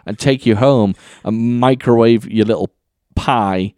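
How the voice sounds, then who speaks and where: A man's voice: mid-range voice, a little slow, a little mellow, dry recording, studio quality, lightly acted. A man playing a stammering awkward caller. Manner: hesitant, stammering. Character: awkward nervous male caller.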